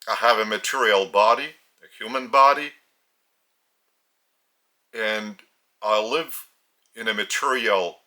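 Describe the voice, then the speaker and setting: A man from Lithuania with a Lithuanian accent, a spiritual teacher speaking in short, halting phrases, his native language being Lithuanian. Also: mid-range voice, bright, forceful tone. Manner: halting, phrase-by-phrase delivery with pauses. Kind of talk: slow spiritual teaching talk.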